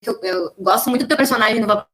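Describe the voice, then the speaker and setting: A woman's voice: a little high, very fast, crowd noise. A woman with a Brazilian accent interviewing guests in a conversational style on a podcast.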